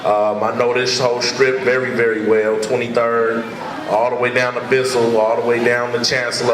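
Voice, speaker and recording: A man from Richmond, California, a community youth worker, speaks casually and conversationally to an audience, with plenty of fillers and asides. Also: mid-range voice. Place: large indoor hall with an audience. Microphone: far camera. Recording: echoey hall from far off with crowd.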